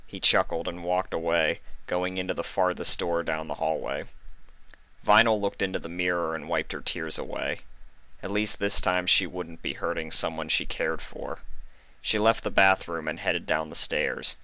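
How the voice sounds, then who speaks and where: Deep voiced guy reading a story dramatically, close dry recording. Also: moderate pace, sharp tone, no echo, poor audio. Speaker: fan fiction narrator. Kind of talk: dramatic scripted story narration.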